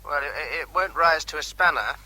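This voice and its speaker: A man's voice: fairly high pitch, moderate pace, bright, forceful tone, low-quality recording. An actor playing a facetious, nervy army captain giving a running commentary as he works on a bomb, with faint noise behind, in a radio drama. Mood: jokey, nervy.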